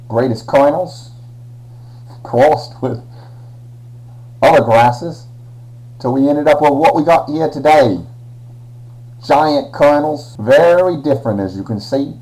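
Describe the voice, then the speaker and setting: A man's voice: medium pitch, mellow, rough recording. A man presenting a playful science lesson in a put-on Australian accent, full of slang. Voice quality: slangy Aussie put-on, drawling. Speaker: educational presenter.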